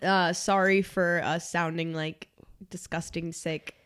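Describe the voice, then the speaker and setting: A woman's voice: fairly low pitch, little pitch movement, slow pace, fairly bright tone, smooth. Congested young woman chatting. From Miami, Florida. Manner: chatty, many "like" fillers. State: congested, stuffy nose. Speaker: podcast co-host. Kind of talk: casual podcast chat.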